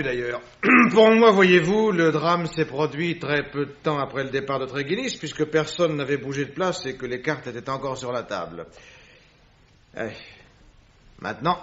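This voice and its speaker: A man playing a keen, analytical detective in a French radio drama, reasoning aloud deliberately. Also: mid-range voice, fairly bright tone, natural voice. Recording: dull lo-fi radio recording.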